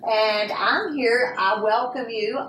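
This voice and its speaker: A woman interviewer who asks friendly, prompting questions, often pausing on fillers. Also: on the high side, a bit bright. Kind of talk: oral history interview. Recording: echoey room, distant mic, noisy.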